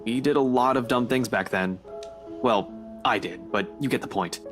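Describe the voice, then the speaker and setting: A guarded young man voiced by an actor in a visual novel, with a middling pitch. He talks dryly and casually as a loyal old friend, over clearly audible music. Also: normal speed, lightly acted. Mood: dry, questioning. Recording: music playing behind the voice.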